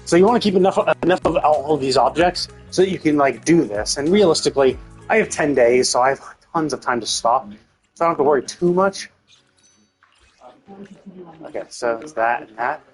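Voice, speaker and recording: A man's voice: a little high, brisk, soft background music, rough recording. A man doing casual live game commentary, thinking aloud in a rambling way and swearing casually.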